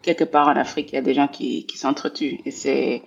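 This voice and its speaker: A woman in her forties from Belgium speaks with a gravelly, husky voice. She talks slowly and reflectively as an interview guest, looping back on her words while she finds her phrasing. The recording sounds a little dull and muffled. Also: rumbling low, level tone. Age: 40s. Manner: thoughtful, repeats words while searching for phrasing. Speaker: guest, scholar of international relations.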